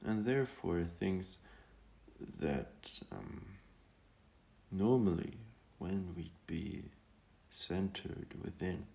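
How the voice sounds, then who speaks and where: A man guiding a meditation in a calm, gentle manner, speaking hesitantly with long pauses. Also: low-pitched, gravelly. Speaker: meditation guide. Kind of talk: guided meditation talk.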